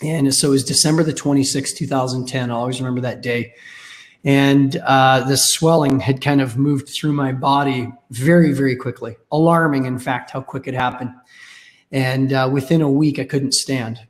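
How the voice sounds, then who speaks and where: A Canadian man in his thirties with a raspy voice from a cold, telling his story candidly and wryly as a podcast guest. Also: medium pitch. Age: 30s. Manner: candid, wry. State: has a cold. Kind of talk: casual interview storytelling.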